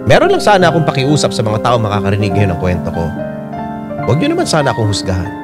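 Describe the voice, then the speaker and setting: Earnest young man narrating, lively pitch. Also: fast. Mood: earnest, confiding. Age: 20s. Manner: earnest, confiding. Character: Felix, earnest 29-year-old man telling his love story. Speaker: narrator and letter sender. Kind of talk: dramatised letter narration for radio drama. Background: piano music.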